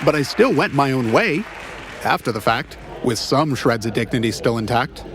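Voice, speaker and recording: A man playing an awkward, self-deprecating ex-cop private eye in a noir comedy audio drama, wry and halting as he banters. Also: mid-range voice, animated, a crowd in the background, background noise, close to an everyday voice. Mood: sheepish, wry.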